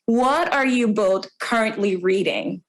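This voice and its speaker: A woman's voice: medium pitch, measured pace. A woman hosting an interview, putting questions to her guests. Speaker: interview host.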